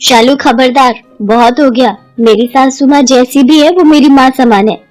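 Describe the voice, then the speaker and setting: A woman's voice: high voice, moderate pace, darkish tone, soft background music, lightly acted, poor audio. A woman voicing a devoted young daughter-in-law who is emotional and earnest, with an emphatic delivery, in a story. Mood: indignant.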